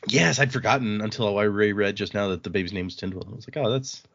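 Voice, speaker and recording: A man's voice: fairly low pitch, fast talker, creaky, a bit bright, highs cut off. A man hosting a casual book discussion in an informal, chatty, digressive way. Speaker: podcast host.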